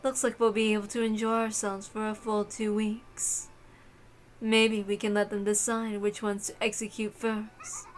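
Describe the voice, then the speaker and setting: A woman's voice: mid-range voice, little pitch movement, lightly acted. Languid, cruel witch mistress, voiced by a woman. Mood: cool, imperious. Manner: haughty, measured, savoring cruelty.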